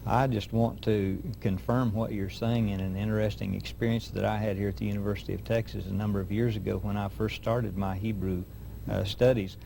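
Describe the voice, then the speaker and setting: A man hosting an interview, asking questions and telling an anecdote in a measured, deliberate way. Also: on the low side, level tone, creaky, warm tone, a little hiss or hum, rough recording. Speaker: host interviewer.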